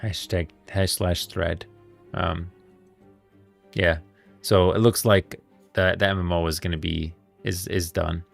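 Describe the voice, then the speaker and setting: A man hosting a casual gaming livestream, chatting informally, thinking aloud in a halting way and repeating phrases. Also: low-pitched, fairly even intonation, gravelly, faint music behind. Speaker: streamer, host of a gaming lore stream. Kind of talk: casual livestream chat, reading posts aloud.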